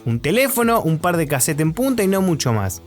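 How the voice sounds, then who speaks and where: A man's voice: a little high, big pitch swings, fairly quick. An Argentine man presenting a radio documentary in scripted narration. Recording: music under the voice.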